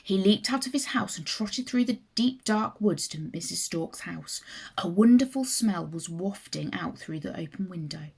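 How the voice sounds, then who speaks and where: A woman narrating a children's story in an expressive, deliberate manner. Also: fairly low pitch, gravelly, slightly rough. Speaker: teacher reading a story aloud.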